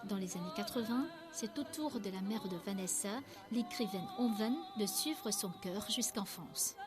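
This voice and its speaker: A woman giving smooth, scripted documentary narration in an even storytelling manner. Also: mid-range voice, moderate pace. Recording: narration over loud background music.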